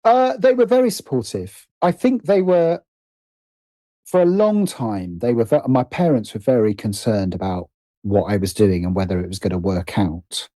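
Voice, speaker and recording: British man, middle-aged, low mellow voice, hesitant, self-deprecating, casual interview chat. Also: animated, normal speed, no echo. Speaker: podcast guest, writer and producer.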